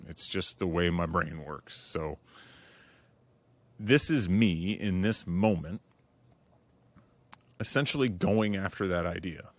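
Deep-voiced man musing on his podcast. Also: fairly bright tone, no echo. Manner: candid and earnest, with frequent "you know" and restarts. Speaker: podcast host. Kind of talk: reflective solo podcast monologue.

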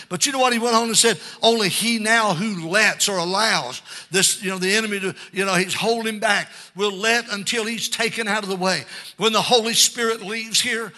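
Older preacher with a bright, high-pitched voice. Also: male voice, moderate pace. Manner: exhorting, punctuated with frequent amens and calls for response. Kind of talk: fervent Pentecostal church sermon.

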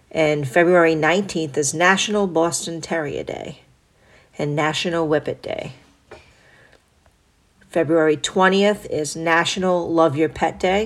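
A woman with a low voice hosts her own podcast. She is stuffed up with a cold, and her nose sounds blocked. She reads items from a list in a steady, even way and drops in brief asides. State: congested from a cold. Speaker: podcast host. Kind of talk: solo podcast reading a list.

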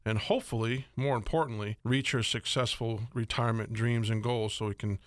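An upbeat, middle-aged man talks money on the radio in a voice of middling pitch. He is chatty and loose and slips in jokes between points. The studio sound is close and dry. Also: steady tone, brisk, no room sound. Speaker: radio show host, financial advisor. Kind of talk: casual radio talk.

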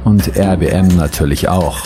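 A man with a low voice reads a scripted radio advert as an announcer. His promotional delivery is tongue-in-cheek, and loud music plays behind him. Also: moderate pace. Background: loud music bed.

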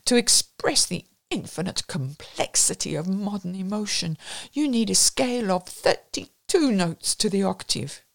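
A woman narrator voicing an urbane, polite, mock-earnest gentleman in an audiobook. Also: mid-range voice, animated, a little slow, gravelly.

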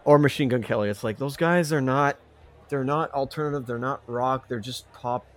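A man co-hosting a podcast in casual banter, ranting in a halting way and repeating himself with mild exclamations. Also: medium pitch. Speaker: podcast co-host.

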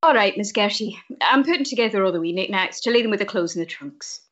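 A woman's voice: mid-range voice, animated, quick pace, a bit bright, lightly acted. Woman playing a chatty old servant, slightly muffled. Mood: chatty, obliging. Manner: homely dialect, garrulous. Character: old, chatty, devoted woman servant, wry about husbands. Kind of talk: audio drama acting.

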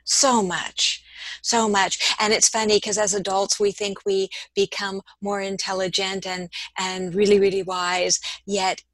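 A woman's voice: mid-range voice, level tone, unhurried, a bit bright, no echo, poor audio. A woman interviewing a guest, asking questions and agreeing emphatically.

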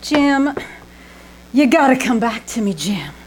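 A woman's voice: fairly high pitch, sing-song, slow pace. A woman playing a flamboyant, daffy young Hollywood starlet with affected, dramatic delivery in an old-time radio comedy on stage. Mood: melodramatic pleading. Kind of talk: old-time radio comedy acting before a live audience. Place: small-town theater stage. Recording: noisy live theater stage recording.

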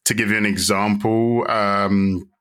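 Young man, London accent, lowish voice, chatty, lots of restarts and fillers. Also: fairly even intonation, unhurried, fairly bright tone, clear, resonant tone. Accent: London English. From London, England. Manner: chatty, many restarts and fillers, self-deprecating. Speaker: guest, videographer. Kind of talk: casual interview chat.

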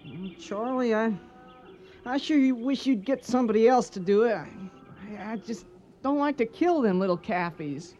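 An actor playing a soft-hearted young cowhand in a radio western, hesitant and stammering, with an American Western drawl. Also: male voice, very high-pitched, animated, normal speed. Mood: reluctant.

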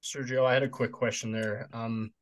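A man's voice: mid-range voice, flat delivery, normal speed. A man hosting a podcast, welcoming listeners and asking his guest friendly questions in an upbeat tone. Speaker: podcast host. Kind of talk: podcast intro and interview questions.

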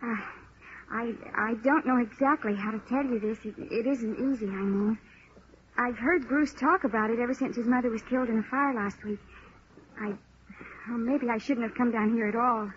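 An actress playing a timid young schoolgirl in a 1950s radio drama, halting and nervous. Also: a little high, lightly acted. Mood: hesitant, anxious. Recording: dull old radio recording, faint noise.

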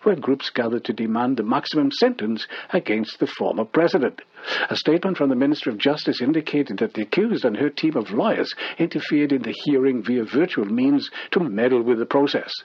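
Husky man reading news over muffled radio. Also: mid-range voice, expressive, brisk. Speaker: radio newsreader. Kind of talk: scripted news bulletin. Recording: dull, muffled shortwave radio sound.

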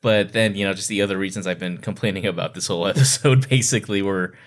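A man with a slightly low voice co-hosts a podcast. He talks in a casual, conversational style and offers his opinions like a critic among friends. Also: fast. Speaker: podcast co-host. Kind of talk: casual critical book discussion.

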